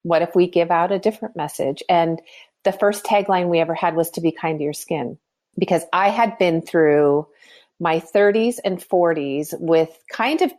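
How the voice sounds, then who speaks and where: A woman's voice: on the low side, a little mellow. A woman in her fifties, a skincare company founder, talking earnestly in a podcast interview and explaining her views with frequent restarts. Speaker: skincare brand founder, guest.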